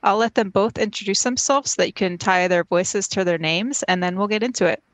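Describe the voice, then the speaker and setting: A woman's voice: mid-range voice, fairly quick, dry recording. Woman hosting a dry-sounding interview. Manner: casual, asks long questions with fillers. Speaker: podcast host, news editor.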